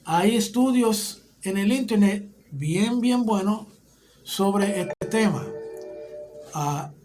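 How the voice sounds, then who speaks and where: Un hombre con acento puertorriqueño que enseña una clase bíblica, explicando paso a paso y preguntando "¿ok?" a menudo. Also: male voice, high voice, lively intonation, very slow, darkish tone, soft background music. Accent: Puerto Rican Spanish. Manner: explanatory, often checks in with "¿ok?". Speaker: Bible teacher. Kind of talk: teaching a Bible class.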